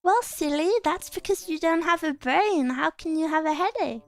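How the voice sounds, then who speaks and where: A young woman streamer chatting casually with her viewers, self-conscious and giggly, with a scratchy throat she keeps clearing. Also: very high voice, normal speed, no room sound. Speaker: VTuber streamer. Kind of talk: casual stream chat, reading chat aloud.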